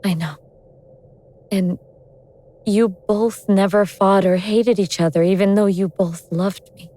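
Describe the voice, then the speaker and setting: A woman playing an awakened ancient goddess of vengeance in human form, speaking in a wry, deliberate, sardonic way in an audio drama. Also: medium pitch, steady tone, mellow, lightly acted. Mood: tender. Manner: sardonic, world-weary, deliberate explaining.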